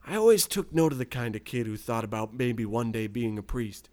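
A man playing a folksy, naively smug country boy who tells his story deadpan, with a rural American accent, in a radio parody. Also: mid-range voice, fairly quick, natural voice. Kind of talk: scripted first-person storytelling, radio parody.

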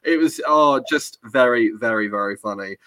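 A man's voice: mid-range voice, normal speed, pushed and bright, no room sound. A man hosting a casual chat about films, chatty and rambling, reading live comments as he goes. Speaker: podcast host.

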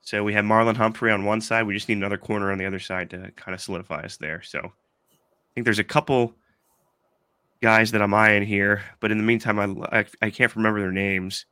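A man's voice: on the low side, steady tone, fairly quick, sharp tone, smooth, dry recording, clean studio sound. Congested man hosting a sports podcast. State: congested from allergies. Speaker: podcast host. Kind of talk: casual sports chat.

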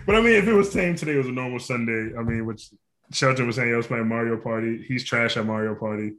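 A man's voice: mid-range voice, a bit bright. A man hosting a casual podcast, swearing freely and laughing often. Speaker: podcast host. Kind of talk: casual chat.

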